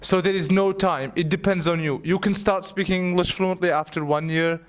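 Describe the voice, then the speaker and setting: A man in his twenties, an English teacher answering students' questions in class, lively and repeating words as he thinks. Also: high-pitched, brisk.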